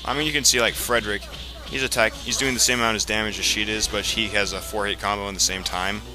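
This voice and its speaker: A man giving casual, explanatory gameplay commentary in a halting way, as the host of a let's play. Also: lowish voice, fairly even intonation, rough recording. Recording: game music behind the voice. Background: video game music.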